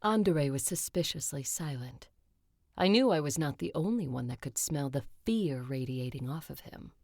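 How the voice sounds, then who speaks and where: A vampire conqueror with a very low, commanding pitch. She is blunt and sharp-tongued, moving between story narration and confrontational audio-drama dialogue. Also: lively intonation, moderate pace, dry recording, clean studio sound. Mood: watchful narrating. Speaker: lead actor and first-person narrator.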